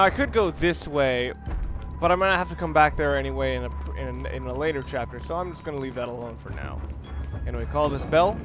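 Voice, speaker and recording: A man with a fairly high voice gives casual commentary on a video game. He explains as he goes, often halting and rephrasing, with faint game music underneath. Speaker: let's play commentator. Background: video game audio and music.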